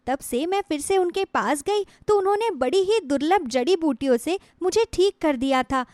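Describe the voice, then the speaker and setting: A woman's voice: high voice, no room sound, studio quality. A woman voicing a sad, anxious, earnest young woman in a moral story cartoon.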